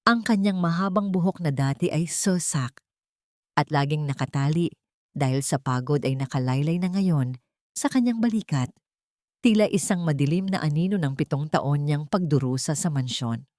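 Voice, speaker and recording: A woman telling a dramatic love story in scripted narration. Her voice sits low and her reading is measured and steady. The recording is close and dry. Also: no room sound.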